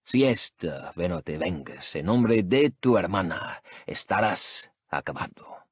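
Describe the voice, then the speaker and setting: A cold older crime boss growls in a deep, husky voice with a Mexican accent, as the narrator puts him on. He speaks matter-of-factly, every word heavy with menace. The read is dry and close. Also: expressive, normal speed, no echo. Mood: menacing.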